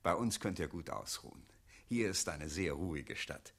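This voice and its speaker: A man's voice: deep voice, expressive, normal speed, vocal fry, a bit bright, breathy edge, heavily acted. A man playing a placid, hospitable older townsman in a radio play, genial and matter-of-fact. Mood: placid, resigned.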